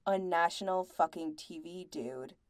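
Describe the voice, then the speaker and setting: A woman's voice: mid-range voice, sing-song, slow, darkish tone, dry recording, clean studio sound. Woman voicing a blunt, exasperated young bandmate guy. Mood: grim, accusing.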